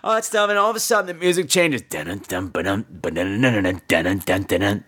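A man's voice: lowish voice, expressive, quick pace, fairly bright tone, breathy edge. A man hosting a podcast, telling comic personal stories in a campy, exaggerated theatrical style with salty, sassy asides. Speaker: podcast host.

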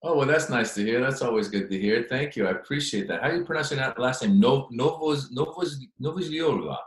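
A man's voice: mid-range voice, expressive, fast talker, echoey room. A man in his forties from Iran with an American accent, a comedian talking as a podcast guest in a rambling way with many fillers. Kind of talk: casual interview chat.

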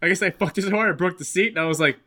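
A man with a bright, forceful, slightly high voice talks quickly as a podcast co-host. His style is casual, foul-mouthed banter, and he tells stories in an excitable way. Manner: profane, excitable storyteller.

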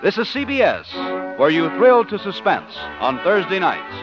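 American male announcer, vintage scripted broadcast style, slightly raised pitch, dull old recording with music underneath. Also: wildly swooping pitch, normal speed. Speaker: radio announcer. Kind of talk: 1950s scripted network radio announcing.

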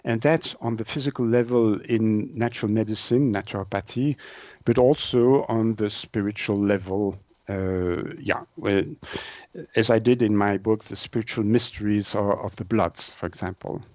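A man's voice: fairly low pitch, darkish tone, no room sound. A man with a French-Swiss accent, a native French speaker, explaining things in an interview and searching for words as he goes. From Switzerland. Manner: halting, searching for words in non-native English. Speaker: interview guest, naturopath and author. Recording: dull lo-fi audio.

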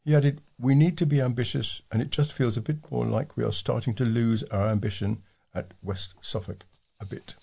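A man's voice: medium pitch, fairly even intonation, moderate pace, gravelly, dark tone, slightly rough. A man reading scripted local news stories as a volunteer newsreader.

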